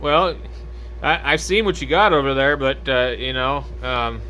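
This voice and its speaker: American male ham operator, mid-pitched, casual and hesitant, slight radio noise behind him. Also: unhurried, rough recording. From United States. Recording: steady background radio noise.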